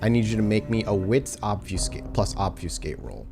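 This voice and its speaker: Casting: male game master, fairly low pitch, dramatic scene-setting narration over clear background music. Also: sing-song, moderate pace. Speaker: game storyteller. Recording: prominent music behind the voice.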